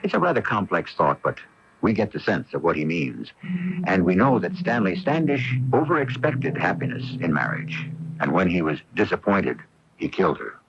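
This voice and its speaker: An actor hosting a radio mystery as a wry, knowing storyteller, narrating in measured, literary phrases. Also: male voice, deep voice, sing-song, a bit bright, exaggerated voice. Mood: wry, ominous. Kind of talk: scripted dramatic narration, addressing the audience. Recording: noisy, muffled old AM radio broadcast.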